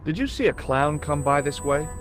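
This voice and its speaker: Game lead: male actor as dogged young American, polite, wry, animated intonation, music behind. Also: fairly high pitch, moderate pace, close to an everyday voice. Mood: inquisitive. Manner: polite but persistent, dryly sardonic. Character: earnest, dogged young American man investigating a bombing. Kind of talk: video game dialogue acting.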